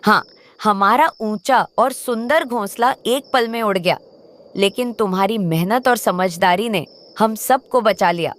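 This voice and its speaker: A woman's voice: medium pitch, measured pace, close to an everyday voice. A woman playing a lazy, selfish crow wife in a cartoon, with dramatic delivery. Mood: chastened.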